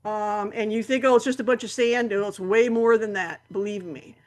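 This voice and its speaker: A woman's voice: medium pitch, fairly even intonation, normal speed. A woman hosting a camera club meeting, giving announcements in a rambling way with many fillers and restarts.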